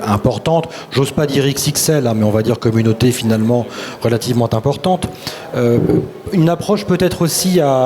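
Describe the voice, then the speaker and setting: A man's voice: medium pitch, dark tone, a little hiss or hum. A man moderating a panel discussion, summing up and introducing guests in a hedging way with fillers.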